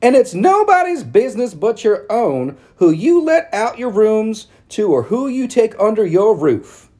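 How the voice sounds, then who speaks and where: A man voicing a stern, pushy middle-aged townswoman who lectures in a high falsetto. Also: very animated, a little slow, a little mellow. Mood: stern lecturing.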